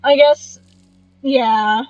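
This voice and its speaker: A woman chatting casually and exclaiming excitedly as she reacts to a video game's story while playing it. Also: high-pitched, drawn-out words, no echo. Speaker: game streamer reacting to story. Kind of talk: casual gameplay reaction chat. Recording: dull lo-fi, game music behind. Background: game music.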